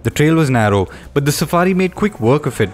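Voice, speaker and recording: A man's voice: fairly high pitch, very animated, quick pace. A man reading scripted voice-over narration for a motoring travel film.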